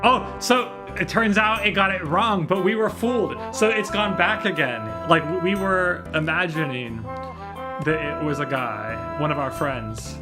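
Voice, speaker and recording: A man's voice: a little high, a little slow. A man giving casual live game commentary, rambling and thinking aloud. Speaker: streamer. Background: game music.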